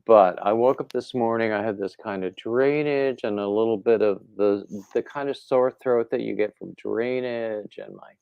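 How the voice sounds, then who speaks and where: A man hosting a casual live chat with his viewers, rambling in a relaxed way and reading their comments aloud, his throat a little congested. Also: fairly low pitch, normal speed, vocal fry, hardly any pauses, clean tone, dry recording, phone-quality sound. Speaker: livestream host.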